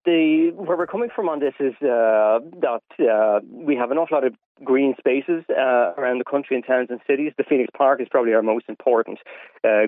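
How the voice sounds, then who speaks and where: An Irish man talks in a measured, hesitant way, with many fillers, as a guest on a radio show. His voice comes down a tinny phone line, narrow and lo-fi. The sound is dry, with no room around it. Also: mid-range voice, no room sound. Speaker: wildlife campaigner, radio guest.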